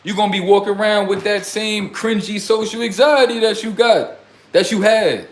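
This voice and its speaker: A man's voice: sits high, moderate pace. Blunt young man preaching in slang. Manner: rambling, drifts between topics. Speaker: self-styled life coach. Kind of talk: casual livestream talk to chat.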